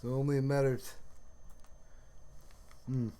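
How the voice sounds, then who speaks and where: A man hosting a casual livestream, chatting in a rambling, halting way and often trailing off mid-sentence. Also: mid-range voice, flat delivery, dark tone, clean tone. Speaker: livestream host.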